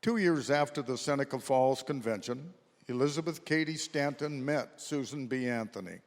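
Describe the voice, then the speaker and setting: A man's voice: medium pitch, moderate pace. A man narrating a scripted history for a readers theater performance. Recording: echoey hall with long reverb.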